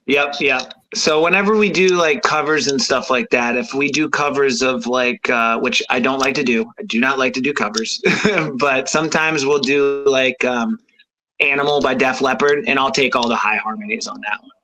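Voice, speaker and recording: A man who fronts a rock band, chatting casually on a radio show with a high voice, rambling with fillers and easy laughs. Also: normal speed, low-quality recording. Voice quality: unusually high voice. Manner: rambling, many fillers and restarts, laughs easily.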